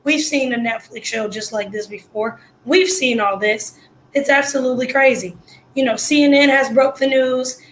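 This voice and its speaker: A hoarse woman giving casual, blunt live reaction commentary full of slang. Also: high voice, normal speed, fairly bright tone, slight background noise, poor audio. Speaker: livestream commentator.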